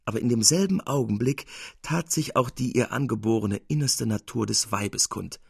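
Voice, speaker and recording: A man reading literary fiction as an audiobook narrator, in an expressive storytelling manner. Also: mid-range voice, moderate pace, creaky, slightly rough, no room sound, studio quality.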